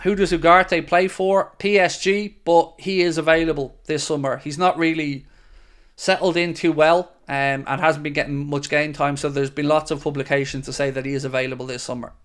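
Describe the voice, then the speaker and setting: A man's voice: a little high, steady tone, moderate pace, a bit bright, smooth. Chatty upbeat man streaming. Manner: chatty and upbeat, reads questions aloud then answers. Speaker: host of a football fan channel. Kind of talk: live-stream chat answering viewer questions.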